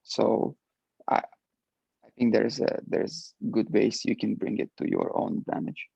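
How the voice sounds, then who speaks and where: Guy on a panel, low voice, lots of ums, dry muffled call audio. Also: unhurried, vocal fry, warm tone, breathy edge, no room sound. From Ukraine. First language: Russian. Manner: hesitant, many filler words. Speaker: alumni panelist. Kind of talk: panel discussion answer.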